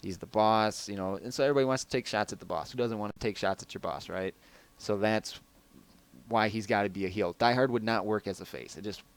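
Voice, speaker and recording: A man with a low, raspy baritone voice hosting a podcast, chatting loosely as he answers listener questions over a headset mic. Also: fairly quick. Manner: loose, rambling delivery. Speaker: podcast host.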